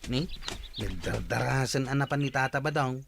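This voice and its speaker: An actor playing a skeptical, scolding village companion in a radio comedy-drama, dismissive and needling. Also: male voice, medium pitch, moderate pace, gravelly, noisy background, lightly acted.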